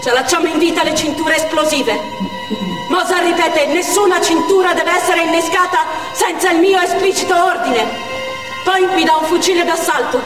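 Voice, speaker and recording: A woman's voice: extremely high pitch, moderate pace. An actress playing a devout, fearful Chechen woman militant, narrating in a theatrical stage monologue. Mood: grim, resolute. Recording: echoey theatre hall, distant, faint music.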